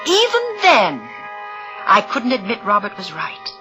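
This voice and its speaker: An actress playing a proud, scheming, frightened fake medium in an intense, emphatic old-time radio suspense style. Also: female voice, mid-range voice, wildly swooping pitch, measured pace. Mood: bitter, haunted. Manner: intense, melodramatic, emphatic repetition. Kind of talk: 1940s-50s radio suspense drama acting. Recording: old radio recording with music.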